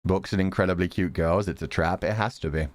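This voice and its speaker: A man's voice: sits low, fairly quick, no echo, faint music behind. A man giving casual, slangy, joking live commentary while streaming a game.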